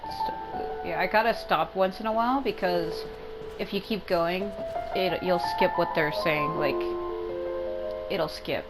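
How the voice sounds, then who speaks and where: A woman's voice: fairly low pitch, measured pace, poor audio. Hesitant woman thinking aloud over game music. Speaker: gameplay streamer. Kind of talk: casual reactive game commentary.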